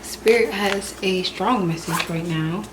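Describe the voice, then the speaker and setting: A woman doing a spiritual tarot reading in a calm, repetitive way, sounding stuffy and hoarse from a cold. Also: lowish voice, a little slow, noisy background. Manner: calm, repetitive, soft-spoken delivery. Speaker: tarot reader.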